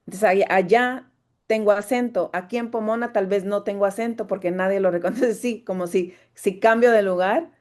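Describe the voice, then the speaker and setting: A woman's voice: medium pitch, moderate pace, darkish tone. A woman who teaches voice, speaking thoughtfully on a video call, searching for her words and restarting often.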